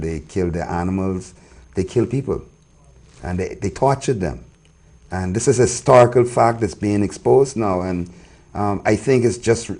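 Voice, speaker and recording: Male talk host, gravelly, mid-low voice, earnest and conversational. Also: a little mellow. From Bahamas. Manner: earnest, frequent low murmured agreements. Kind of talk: casual interview conversation.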